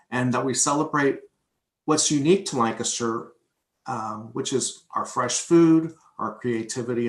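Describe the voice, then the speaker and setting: Man, board vice chair, medium pitch, explaining a plan at a public meeting, recorded in an echoey room. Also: fairly bright tone. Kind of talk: prepared presentation at a public meeting. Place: indoor room on a video conference call. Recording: roomy echo on voice.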